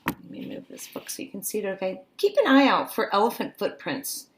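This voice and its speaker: A woman with a lively, widely swinging voice reads a picture book to children in a teacherly way. Her pitch sits in the middle range for a woman. She moves between expressive reading and coaxing questions addressed straight to her young listeners. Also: a little fast.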